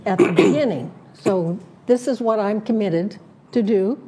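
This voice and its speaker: A woman serving as a community board director, laying out her plans in an interview, listing points slowly with many fillers and restarts. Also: mid-range voice, mellow, husky. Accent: Southern Californian. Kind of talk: prepared statement in an interview.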